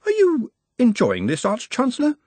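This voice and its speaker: A man's voice: very high-pitched, wildly swooping pitch, normal speed, mellow, dry recording, pitched above the performer's own voice, full character voice. A man voicing a nervous, dutiful young male academic clerk who stammers and defers.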